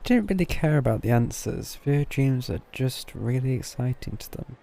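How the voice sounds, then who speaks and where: A man livestreaming a game with low-key, casual asides and short reactions. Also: animated, normal speed, gravelly, dark tone, slight background noise. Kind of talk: casual reactions during gameplay.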